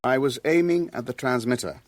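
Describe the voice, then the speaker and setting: An actor in a radio drama playing a cornered Levantine businessman, formal and measured, defending himself with wounded dignity. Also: mid-range voice, dry recording, close to an everyday voice. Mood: defensive.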